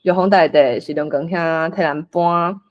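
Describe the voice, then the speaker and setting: A host with a Taiwanese accent casually organizing people in an online meeting, coaxing and animated. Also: mid-range voice, normal speed, highs cut off. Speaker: host assigning roles for a script read-along.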